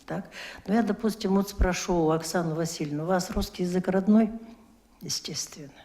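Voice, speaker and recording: A woman's voice: on the low side, a little fast, warm tone. A woman university lecturer speaking at a round-table discussion, explaining her points and asking rhetorical questions. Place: media center.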